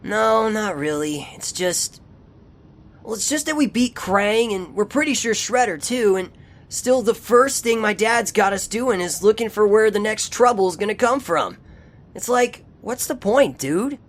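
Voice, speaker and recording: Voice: mid-range voice, lively intonation, a little slow, natural voice. An actor playing an earnest, war-weary young male ninja turtle in an audio drama, speaking hesitantly in slang. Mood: downcast, frustrated. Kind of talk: fan audio drama acting.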